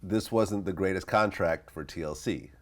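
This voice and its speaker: A man's voice: sits low, moderate pace. A man with an American accent asking questions casually as the host of an interview.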